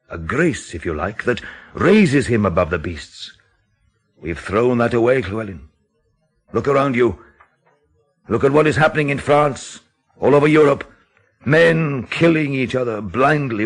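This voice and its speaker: An actor in a radio drama playing a grave, intense man who speaks in an emphatic, deliberate, theatrical way. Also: mid-range voice, breathy edge. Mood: bitter, impassioned. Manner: emphatic, dramatic, deliberate phrasing with rhetorical questions. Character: grave, intense countryside man expounding a dreadful theory.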